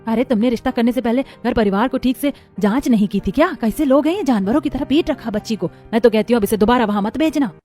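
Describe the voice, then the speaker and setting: Woman acting middle-aged aunty, outspoken, caring, emphatic, fairly high voice, cartoon drama, faint music. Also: fast talker, hardly any pauses, warm tone, natural voice. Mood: indignant. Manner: admonishing, emphatic. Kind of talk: cartoon family drama dialogue.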